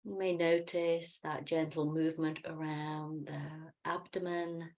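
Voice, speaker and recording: Low-pitched woman gently guiding meditation. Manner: calm, soothing, unhurried instructing with pauses. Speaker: yoga and meditation teacher. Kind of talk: guided meditation and gentle movement instruction.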